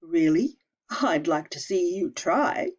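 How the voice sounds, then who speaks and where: A woman voicing the biggest male billy goat in a storybook reading, loud and defiant, on a dull lo-fi recording. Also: medium pitch, very animated, a little slow.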